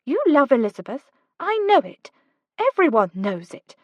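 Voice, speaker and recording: Spirited young matchmaker, read by a woman: higher, eager, insistent. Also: very animated, normal speed, a little mellow, no room sound, highs cut off. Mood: urging. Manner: urgent, coaxing.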